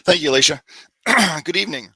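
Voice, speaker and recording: A man presenting on student billing at an online session, a little hoarse from a cold, explaining in a relaxed way with many fillers. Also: on the high side, a little fast, dry recording, clean studio sound. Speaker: accounts receivable staff presenter. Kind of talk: webinar presentation.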